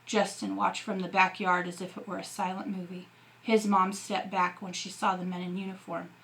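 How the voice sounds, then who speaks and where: A woman narrates a novel as a teacher reading aloud. Her voice sits at a medium pitch with a bright, forceful tone, and her storytelling is expressive. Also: moderate pace, creaky, poor audio.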